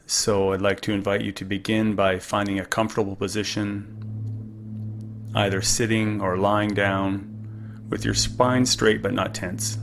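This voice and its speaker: A man leading a guided meditation in a calm, unhurried, gently instructive way. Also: fairly low pitch, slight background noise. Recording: music behind the voice. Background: music.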